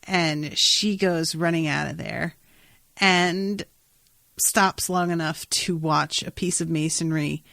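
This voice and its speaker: Woman podcaster w/ a lowish voice talking tv, sarcastic n slangy, kinda exasperated, no room echo. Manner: exasperated, incredulous, sarcastic asides; informal, slangy, swears casually. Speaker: podcast co-host. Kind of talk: casual TV episode recap chat.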